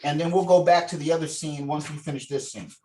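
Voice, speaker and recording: A man's voice: on the high side, fairly even intonation, fast, dark tone, slight background noise. A man leading a script read over a video call, talking casually and encouragingly and reading out scene directions. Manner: encouraging, informal. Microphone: video call microphone.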